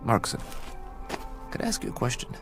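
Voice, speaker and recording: An actor playing an uneasy, hesitant scientist about to travel into space in a radio drama. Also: male voice, a little fast, over music, lightly acted. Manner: hesitant, subdued, searching.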